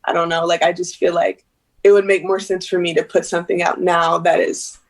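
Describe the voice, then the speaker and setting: A woman's voice: on the low side, little pitch movement, brisk. A woman in her twenties, a singer and interview guest, talking casually on a video call, hesitant and giggly with many fillers. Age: 20s.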